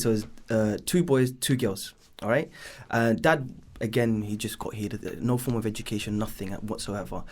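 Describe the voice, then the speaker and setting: A man in his thirties with a London accent, speaking in a fairly low voice. He talks as a podcast guest and tells his story loosely and casually. His sentences drift, with many ums and repeated asides like "should I say". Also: a little mellow. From born in Bangladesh, raised in East London. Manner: rambling, leans on "should I say" and "um". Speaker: business founder guest.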